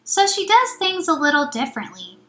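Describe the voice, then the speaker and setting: A woman's voice: sits high, sing-song, fairly quick, fairly bright tone, voice pushed up. A woman voicing a friendly, helpful young monster in a children's storybook, explaining things simply. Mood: earnest. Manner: simple, explaining, speaks of self in third person. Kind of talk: children's storybook read-aloud. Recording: roomy echo, faint music.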